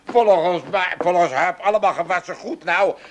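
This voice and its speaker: An actor playing a cranky, proud old junk dealer from Amsterdam with an Amsterdam accent, in a radio play. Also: male voice, high-pitched, moderate pace, natural voice. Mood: indignant. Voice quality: blustering, theatrical.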